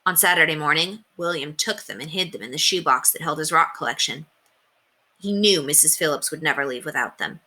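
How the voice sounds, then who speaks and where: Bright, expressive woman storyteller, lowish voice. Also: brisk. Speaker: storyteller reading aloud to children. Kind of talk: expressive scripted narration of a children's book.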